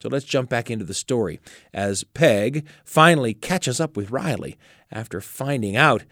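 Guy narrating a radio show recap, super expressive and dramatic, very dry close sound. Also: medium pitch, moderate pace, dry recording. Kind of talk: scripted narration, recapping a story.

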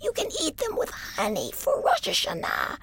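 A woman's voice: very high voice, huge pitch swings, fairly bright tone, voice pushed up, heavily acted. A woman voicing a tiny, wilted, thirsty apple tree that begs in a faint whisper. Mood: pleading. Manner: slow, begging.

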